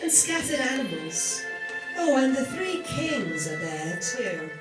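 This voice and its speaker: A woman's voice: a little high, very animated, slow pace. A woman giving scripted narration for a radio play. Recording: roomy, distant, loud music behind.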